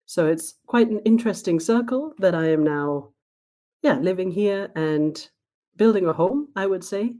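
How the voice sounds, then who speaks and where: A woman with a low, soft and mellow voice, talking as a guest on a podcast. She speaks in a thoughtful, reflective way and takes her time to answer. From born in Sweden, raised in Spain. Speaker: guest, diversity trainer. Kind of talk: podcast interview conversation.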